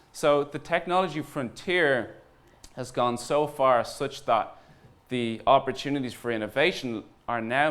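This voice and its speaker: An Irish man speaking as a startup founder at a conference, informal and earnest, pausing deliberately for emphasis. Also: fairly low pitch, a bit bright. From Ireland. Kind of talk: conference talk.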